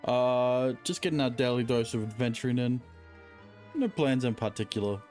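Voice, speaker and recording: A man's voice: mid-range voice, normal speed. A man streaming a game casually, reading dialogue choices aloud and adding offhand, skeptical asides. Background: game music.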